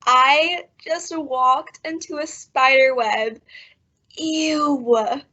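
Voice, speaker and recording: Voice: high voice, extremely slow, pushed and bright, heavily acted. A woman playing a squeamish, complaining teenage daughter in an old-time radio family comedy. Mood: disgusted. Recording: dull, muffled recording.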